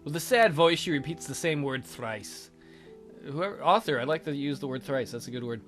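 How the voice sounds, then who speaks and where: A man's voice: a little high, expressive, brisk, fairly bright tone, faint music behind. A man reading a visual novel aloud theatrically as a let's play host, with casual, jokey asides.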